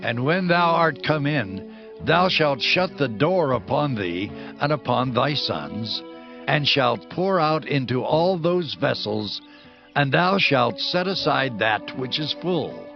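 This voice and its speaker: A man's voice: medium pitch, sing-song, slow pace, creaky, highs cut off, soft background music, full character voice. An actor playing a solemn, commanding male prophet in a dramatized scripture recording, speaking in formal, declamatory phrases. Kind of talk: dramatized scripture audio acting.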